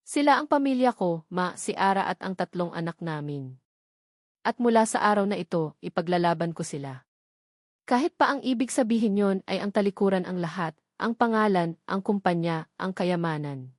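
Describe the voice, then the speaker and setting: A woman's voice: lowish voice, expressive, moderate pace, dry recording, clean studio sound, close to an everyday voice. A woman voicing an anguished, defiant rich young man in an audio drama story. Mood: resolute, pained.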